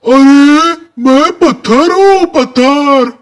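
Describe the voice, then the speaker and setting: A man puts on a silly, greedy cartoon crocodile. He drawls very slowly and foolishly, scheming like a gullible storybook villain. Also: very high-pitched, exaggerated voice. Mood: loud, foolish. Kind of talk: children's cartoon story dialogue.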